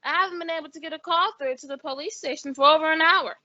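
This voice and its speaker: Gossipy small-town operator woman, high and bright, brisk, radio suspense, dull dry recording. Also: no room sound. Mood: harried, businesslike. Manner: chatty, brisk, confiding. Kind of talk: old-time radio suspense acting.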